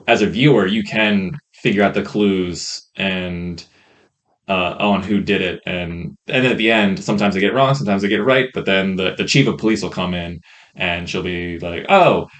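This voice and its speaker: A man co-hosting a podcast, chatting casually in a rambling, hesitant way about what he has watched, on a rough microphone. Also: low-pitched, moderate pace, fairly bright tone. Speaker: podcast co-host reviewing films and shows. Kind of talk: casual chat. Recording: rough podcast mic audio.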